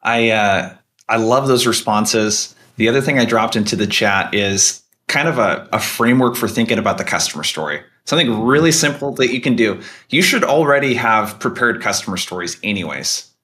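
A man in his thirties hosting a webinar, speaking in a quick, practical way through earbuds on a video call. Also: on the low side, expressive, sharp tone. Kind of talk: webinar teaching.

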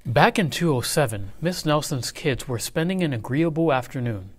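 A man reading a children's picture book aloud in an expressive, measured, dramatic way. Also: on the high side. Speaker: read-aloud storyteller.

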